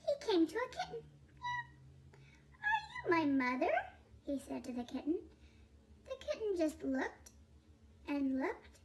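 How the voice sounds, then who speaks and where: A woman reading a children's picture book aloud in a playful, expressive way, drawing out words. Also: extremely high pitch, a little slow, pauses often, a little hiss or hum. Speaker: storybook reader.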